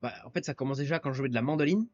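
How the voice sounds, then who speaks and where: A man's voice: medium pitch, very fast, darkish tone, dull audio. A man from France, a musician and podcast guest, chatting casually in a laid-back, slangy way and slipping into English now and then. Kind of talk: casual interview chat.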